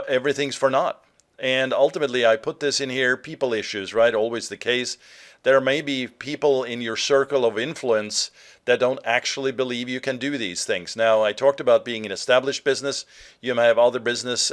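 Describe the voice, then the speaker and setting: A middle-aged man from Norway giving a marketing webinar presentation, earnest and direct, with frequent filler sounds. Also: medium pitch.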